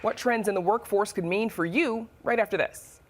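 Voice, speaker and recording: A woman with an American accent reading scripted news and hosting studio interviews in a crisp, measured broadcast delivery. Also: mid-range voice. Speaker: news anchor and host.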